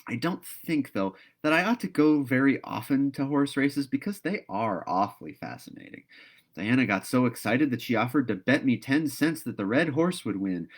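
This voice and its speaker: A man's voice: mid-range voice, lively intonation, normal speed, close to an everyday voice. A man voicing a talkative, dreamy young girl in a storybook read-aloud, earnest and gushing. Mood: earnest, chatty.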